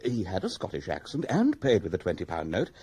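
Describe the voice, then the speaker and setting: An actor playing an observant, formal and precise witness in a radio drama. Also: male voice, low voice, huge pitch swings, a little fast, dark tone, breathy edge, no room sound, exaggerated voice. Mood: confiding, precise. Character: observant, precise male hotel or restaurant witness. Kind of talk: BBC radio drama acting.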